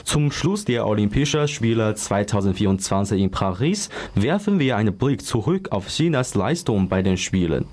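This young man hosts a student interview, and his voice runs low but moves up and down a lot. He asks polite questions that sound read from a script. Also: expressive, normal speed, few pauses, a little mellow. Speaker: student host. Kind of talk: moderating a school interview.

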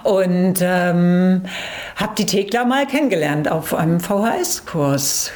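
A middle-aged or older woman telling her story casually and haltingly as an interview guest, searching for words. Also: fairly low pitch, lively intonation, a little mellow. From born in Australia. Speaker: drumming teacher, guest. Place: inside a half-timbered house, drum studio.

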